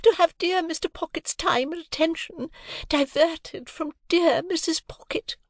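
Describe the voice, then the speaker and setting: A man's voice: extremely high pitch, measured pace, no echo, studio quality, pitched above the performer's own voice. A man voicing a gushing, fawning middle-aged lady, a toadying neighbour in a Victorian novel audiobook, cloyingly sentimental. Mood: gushing, tearful sympathy.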